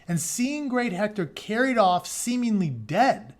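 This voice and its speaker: A man with a fairly high voice reads an epic tale aloud in the role of a teacher. He gives the written text a dramatic delivery, then shifts into plain, informal paraphrase to explain it. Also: very animated, a little slow. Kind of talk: read-aloud of an epic retelling with casual asides.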